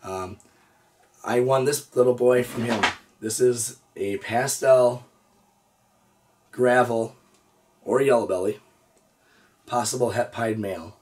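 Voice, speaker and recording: A hoarse man in his thirties, a reptile breeder hosting his own channel, chatting easygoingly with lots of "uh" and "so". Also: mid-range voice, slow, pauses often, a little mellow, distant mic. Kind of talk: casual show-and-tell vlog. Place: indoor reptile room with storage drawers.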